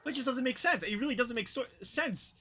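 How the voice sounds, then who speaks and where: Bright-voiced, blunt male game streamer. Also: extremely high pitch, lively intonation, brisk. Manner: blunt, profane, exasperated. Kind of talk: casual live game commentary.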